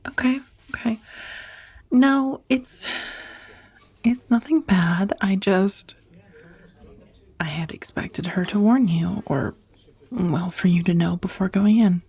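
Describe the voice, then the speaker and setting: Casting: actress as sheepish yet teasing dominatrix for ASMR date roleplay; very breathy, soft near-whisper, close dry mic. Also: female voice, mid-range voice, takes it slowly, pauses often, no room sound, full character voice. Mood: sheepish, hesitant. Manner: hesitant, confiding, teasing, with sighs. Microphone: close, dry ASMR microphone.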